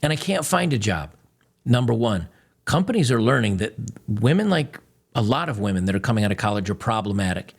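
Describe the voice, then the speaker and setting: Host type: gravel-voiced man, blunt and sneering, reacts to clips. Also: lowish voice, brisk. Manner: blunt, mocking, animated.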